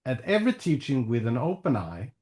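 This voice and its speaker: A man's voice: mid-range voice, huge pitch swings, fairly quick, a little mellow. A man hosting a spiritual podcast over a video call, asking long questions and often restarting his sentences. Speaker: podcast host. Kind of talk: interview questions.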